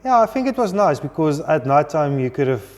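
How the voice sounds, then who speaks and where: A man's voice: fairly high pitch, sing-song, fairly quick, big-room sound. A man from South Africa whose first language is Afrikaans, answering interview questions in an easygoing way. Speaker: guest.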